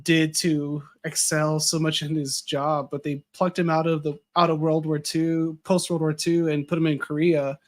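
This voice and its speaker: Rambling man with a slightly higher voice, losing it. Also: flat delivery, moderate pace, hardly any pauses. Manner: rambling, with many fillers and restarts. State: losing his voice a little. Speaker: podcast guest. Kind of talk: casual conversation.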